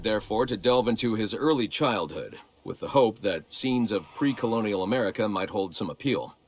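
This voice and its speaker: An actor playing a smug corporate researcher in a video game, narrating a report in a measured, prim, condescending way. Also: male voice, lowish voice, natural voice. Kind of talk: scripted narration.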